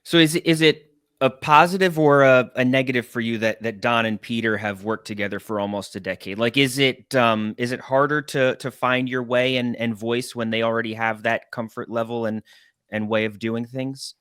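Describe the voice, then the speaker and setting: Male podcast interviewer, clean resonant tone, mid pitch, asks rambling questions. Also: steady tone, moderate pace, fairly bright tone, clean tone, dry recording, studio quality. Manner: brief affirmations, rambling questions. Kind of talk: conversational interview.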